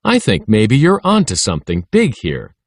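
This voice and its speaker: A man's voice: on the low side, huge pitch swings, moderate pace, no room sound, clean studio sound, heavily acted. A man voicing an eager, talkative schoolboy, the playful best friend in a children's story. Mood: excited.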